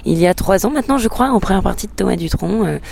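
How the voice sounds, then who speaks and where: A woman's voice: medium pitch, lively intonation, quick pace, vocal fry, darkish tone. A woman whose first language is English, a singer-songwriter answering interview questions in a hesitant way, with many filler sounds and restarts.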